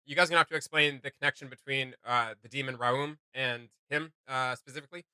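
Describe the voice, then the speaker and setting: A man's voice: mid-range voice, steady tone, quick pace, bright, forceful tone, clear, resonant tone, no room sound. A man hosting a reaction video, giving casual, enthusiastic and rambling commentary.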